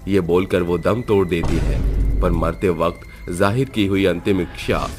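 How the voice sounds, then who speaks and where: Deep guy reading a horror story, dramatic narrator style, bit of music behind. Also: measured pace.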